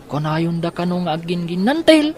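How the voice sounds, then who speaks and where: A woman's voice: lowish voice, sing-song, normal speed, a little mellow. A woman playing a determined young girl in a radio drama, an older sister who talks in an eager, insistent way.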